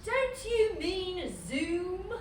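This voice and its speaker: A woman playing an indignant, sassy elderly captive queen, exasperated and haughty, in an animated comedy table read. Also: very high voice, very slow, heavily acted. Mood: sceptical, amused. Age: elderly figure, 74. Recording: echoey room, distant mic, faint noise.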